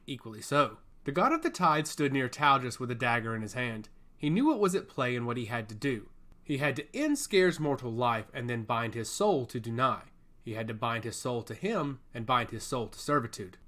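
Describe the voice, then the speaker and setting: A man's voice: mid-range voice, fairly bright tone. A man reading scripted fantasy fiction aloud as a storyteller. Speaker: audiobook narrator.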